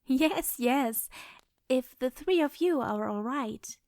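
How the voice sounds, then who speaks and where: A woman playing a gracious, kindly goddess in a fantasy audio drama, gentle and courteous. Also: high voice, lively intonation, slow, no echo, full character voice.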